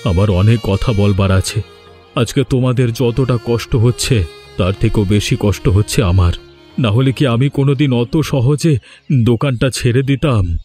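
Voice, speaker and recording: A man playing a proud, domineering village landlord in a cartoon drama, speaking with deliberate authority. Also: mid-range voice, mellow, faint music behind, natural voice. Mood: remorseful. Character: stern, domineering village landlord, male, proud but remorseful. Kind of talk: Bengali cartoon drama dialogue.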